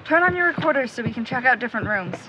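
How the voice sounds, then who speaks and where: A woman's voice: fairly high pitch, expressive, rapid-fire, noisy background, lightly acted. An actress playing a determined, blunt young woman searching for a friend in a naturalistic audio drama. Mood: insistent. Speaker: actor in a supernatural mystery audio drama.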